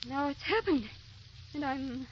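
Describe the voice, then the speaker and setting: Wistful young wife in an old radio play, high voice swooping around, pleading, dull hissy recording. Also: female voice, animated, measured pace. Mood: troubled, confessional. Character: tender, anxious young wife afraid of never aging. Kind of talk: old-time radio drama acting.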